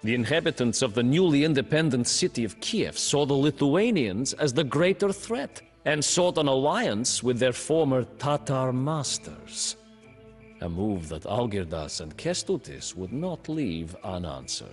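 An actor narrating a game's historical campaign as a male chronicler, in slow, measured scripted storybook narration. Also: mid-range voice, very animated. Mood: grave. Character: male captive chronicler telling a war tale. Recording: studio narration over faint music.

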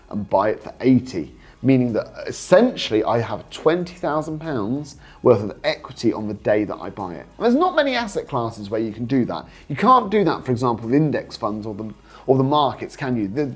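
British man, lively swooping delivery, slightly husky. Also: mid-range voice. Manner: persuasive, explanatory, rhetorical check-in questions. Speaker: host, property investing coach. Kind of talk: informal instructional talk to viewers.

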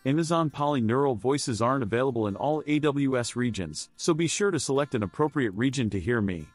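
A man's voice: medium pitch, expressive, fairly quick, no room sound, soft background music. An American man reading a scripted demonstration narration.

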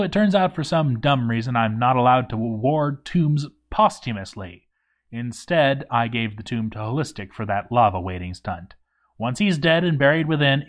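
A man reading a scripted game story in character, with wry, sarcastic asides. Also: mid-range voice. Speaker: narrator of a game story read-through.

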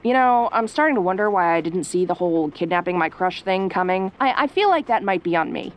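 A woman playing a sassy, easily flustered young tomboy girlfriend, sarcastic and haltingly defensive, in an audio roleplay. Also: lowish voice, expressive, lightly acted. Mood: dry sarcasm.

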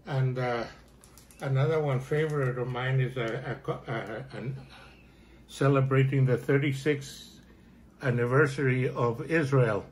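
An older man with a Chilean Spanish accent talks about his life from his living room. His voice sits at a middle pitch, and he stumbles through his answers with frequent restarts and fillers. He is recorded on a camera phone nearby. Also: a little hiss or hum. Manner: warm, halting, many fillers and restarts. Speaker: interviewee. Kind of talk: casual conversation. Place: living room at home, indoors.

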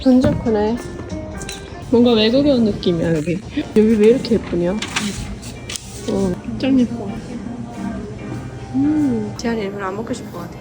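A woman with a fairly high voice chats casually for a vlog. She is informal and quick to giggle, as if among friends. Music plays clearly behind her. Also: lively intonation, low-quality recording. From North Korea. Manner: informal, often laughing while talking. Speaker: vlogger.

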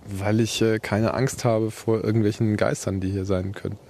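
A man's voice: fairly low pitch, level tone, normal speed, a little mellow. A man chatting casually during an on-site reportage, recorded in the field. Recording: noisy field recording outdoors.

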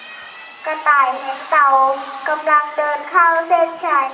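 A young girl with a very high voice recites a children's fable in an echoey room. She goes slowly and deliberately, as if from memory, addressing listeners with some noise around her. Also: fairly even intonation. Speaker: storyteller. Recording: echoey room, distant ambient sound.

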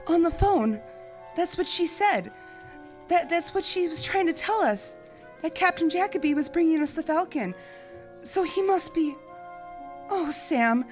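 An actress playing a loyal, anxious detective's secretary in a noir radio play, hesitant and pleading. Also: female voice, very high voice, animated, measured pace, pauses often, darkish tone, faint music behind. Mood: urgent.

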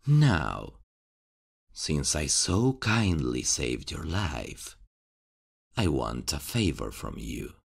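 A man voicing a calm, mocking vigilante killer who speaks slowly and with quiet menace in an ASMR horror roleplay. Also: rumbling low, gravelly, husky. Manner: slow, teasing, quietly menacing.

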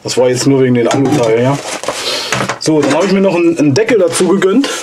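Hoarse man with a cold, chatty. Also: fairly high pitch, fast talker, background noise. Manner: enthusiastic, chatty, slangy. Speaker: outdoor gear channel host. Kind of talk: casual product review vlog. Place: cluttered basement storage room, indoors. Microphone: camera mic from a few steps away.

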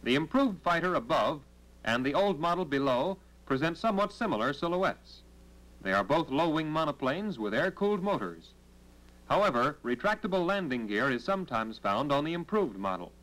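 An American man narrates in the style of a 1940s military training film. His voice sits a little high and moves widely up and down as he reads the script. The delivery is formal, measured and instructional, on a vintage film soundtrack. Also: sing-song, fairly bright tone, low-quality recording.

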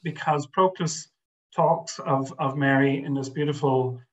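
A man's voice: a little high, steady tone, a little slow, highs cut off. An older man, a guest scholar speaking over a video call from a home study, thoughtful and hesitant with many restarts and fillers. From Ireland. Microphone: webcam on video call.

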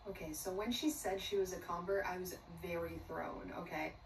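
A woman's voice: on the low side, fairly even intonation, normal speed. A bubbly young woman from the United States tells her story into a phone camera in an outgoing, talkative way. Her voice comes across roomy and a little far from the mic, with faint hiss behind it. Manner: bubbly and self-described extrovert. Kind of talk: personal storytelling. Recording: roomy distant phone clip with faint noise.

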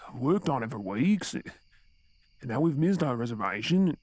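A man's voice: medium pitch, big pitch swings, moderate pace, darkish tone, no echo. A man with an Australian accent playing an exasperated but tender boyfriend in an intimate, conversational audio roleplay.

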